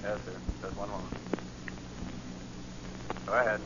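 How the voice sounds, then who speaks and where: Brusque police voice on a phone, old radio drama, dull and clearly noisy. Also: male voice, on the low side, level tone, a little slow. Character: curt, businesslike policeman on the telephone. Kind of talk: 1940s radio acting. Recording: muffled, noisy 1940 radio recording.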